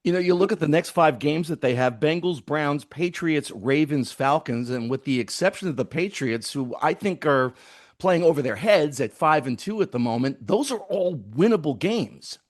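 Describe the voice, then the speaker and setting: A man's voice: on the high side, expressive, normal speed. A man hosting a sports radio segment, setting up questions for a guest. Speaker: sports radio show host, interviewer.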